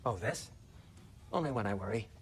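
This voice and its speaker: Man as a fussy, lively-voiced anxious craftsman. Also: lowish voice, moderate pace, a little hiss or hum, close to an everyday voice. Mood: snippy, apologetic. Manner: wry, wordy, polite sarcasm. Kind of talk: animated video game acting.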